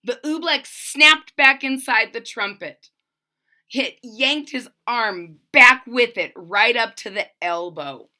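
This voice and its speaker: Female storybook reader, high bright voice, unhurried, dramatic read-aloud with chatty asides to the listeners. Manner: dramatic, addressing listeners directly. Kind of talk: expressive children's read-aloud with retelling asides.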